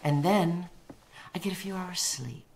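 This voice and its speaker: A woman's voice: lowish voice, a little slow, vocal fry, a little mellow, a little hiss or hum. An actress playing a blunt, imperious woman writer in a radio drama, speaking curtly and with self-assured emphasis. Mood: intense, self-assured.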